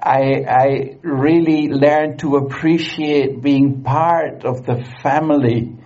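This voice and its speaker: A man's voice: mid-range voice, steady tone, dark tone, highs cut off. A man preaching in English in short phrases for an interpreter, with hesitant fillers and restarts. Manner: halting, many fillers and restarts. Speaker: guest preacher.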